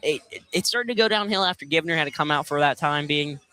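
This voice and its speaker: A man's voice: a little high, quick pace, bright, forceful tone, smooth. A man giving live color commentary on college basketball for radio, emphatic and often repeating his phrases, inside a gym. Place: indoor college field house during a game. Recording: live gym broadcast with background noise.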